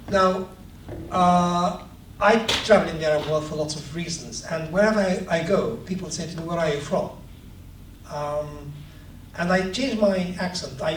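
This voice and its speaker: A man from Palestine talks about his book, his voice pitched a little high for a man. He hesitates and fills gaps with ums, then turns lively in his anecdotes. The room is echoey and the mic sits far away with a light hiss underneath. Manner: hesitant, many ums, animated when telling anecdotes. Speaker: author giving a talk. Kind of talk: reflective talk and storytelling. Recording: echoey room, distant mic, faint noise.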